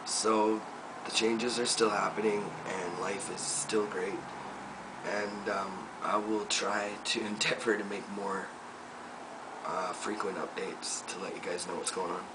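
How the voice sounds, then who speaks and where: Young guy talking low and slow into a video diary, really deep voice, mic kinda far off with noise behind. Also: level tone. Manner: reflective, soft-spoken, many fillers. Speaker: vlogger. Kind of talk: personal video diary update. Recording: distant mic with noticeable room noise.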